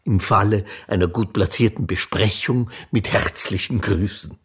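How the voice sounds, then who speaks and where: An actor playing a writer who recalls a late companion in a dry, measured way in a radio play, with a gravelly, husky voice. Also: male voice, fairly low pitch, fairly even intonation. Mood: measured, recollecting.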